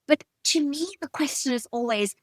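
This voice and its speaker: A middle-aged woman with a South African accent, answering in an interview with emphatic, expressive storytelling and personal anecdotes. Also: high-pitched, normal speed, no room sound, clean studio sound. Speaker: guest, stylist and designer.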